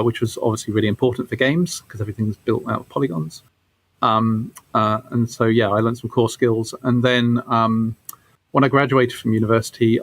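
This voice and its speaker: A man in his forties answering interview questions casually, halting and thoughtful, as a guest game developer. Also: mid-range voice. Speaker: guest, game studio creative director.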